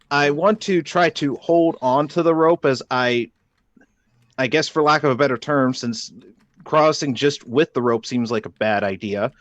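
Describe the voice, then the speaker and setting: A man at a tabletop game lays out his plan step by step in a measured, careful way. The recording is dry and close, with no room sound around him. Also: fairly high pitch, no echo, dull audio. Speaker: player. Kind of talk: casual tabletop game chat.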